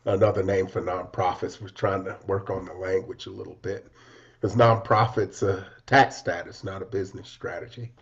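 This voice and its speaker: A man's voice: sits low, fairly even intonation, normal speed. A man co-hosting an interview in a conversational style, heard through a dull, lo-fi recording. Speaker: interview co-host. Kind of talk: conversational talk. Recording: dull lo-fi audio.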